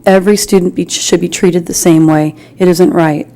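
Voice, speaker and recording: An American woman giving an earnest, informal public address in a halting but insistent way, as a community member at a meeting. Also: on the low side, steady tone, warm tone. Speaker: community member speaking in public comment at a school board meeting.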